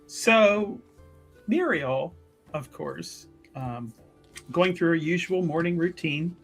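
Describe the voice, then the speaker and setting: A man playing a tabletop roleplaying game, improvising his character's actions in a halting, wordy way. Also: a little high, vocal fry, faint music behind.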